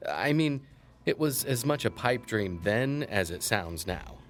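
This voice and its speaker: A man's voice: medium pitch, expressive, unhurried, faint music behind, lightly acted. A man playing a chatty small-town historian in an audio drama, telling local lore in a folksy, wry, digressive way. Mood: enthusiastic, digressive. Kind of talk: conversational storytelling in an audio drama.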